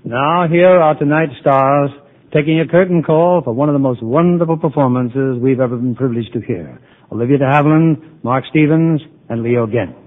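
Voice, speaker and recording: Polished old-time radio host. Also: male voice, medium pitch, moderate pace. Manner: smooth, polished, congratulatory. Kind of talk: 1940s radio announcer. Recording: tinny 1940s radio broadcast.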